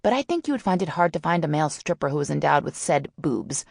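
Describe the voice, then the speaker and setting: Woman playing a brash, creaky-voiced teenage girl. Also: low voice, very animated, fast, darkish tone, dry recording, studio quality, full character voice. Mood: sarcastic. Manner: wordy, teasing, mock-haughty. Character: brash, sarcastic, mischievous Southern teenage girl.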